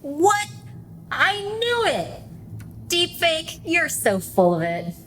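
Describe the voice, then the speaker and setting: An unhurried female voice of an actress plays a self-promoting celebrity guest. She is showy, gushing and a bit catty, in radio-play style. Some ambient noise sits behind her. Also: very high voice, wildly swooping pitch, a bit bright, heavily acted. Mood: outraged.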